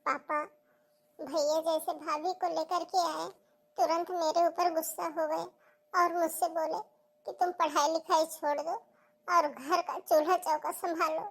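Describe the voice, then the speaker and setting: Woman doing a high girly schoolgirl voice, tearful n pleading, earnest cartoon drama. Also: flat delivery, measured pace. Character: tearful young schoolgirl pleading to keep studying. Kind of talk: Hindi cartoon family melodrama acting.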